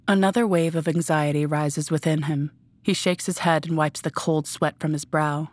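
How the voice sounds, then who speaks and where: A woman narrates a scripted story in a deep register for her sex. The sound is dry, with next to no room in it. She reads as the narrator of an audio drama. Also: no room sound.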